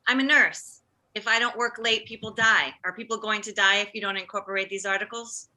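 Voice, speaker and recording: Woman as fussing middle-aged mom, sharp bright voice. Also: medium pitch, fairly quick, poor audio. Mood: put-upon, insistent. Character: self-absorbed, well-meaning forty-five-year-old mother and nurse. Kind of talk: table read of a comedy script.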